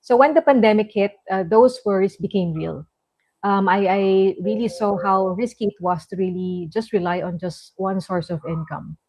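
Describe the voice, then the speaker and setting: A woman's voice: medium pitch, normal speed, a little mellow, low-quality recording. A woman from the Philippines, a guest on a live online interview, who speaks in a friendly way and calls the host sis.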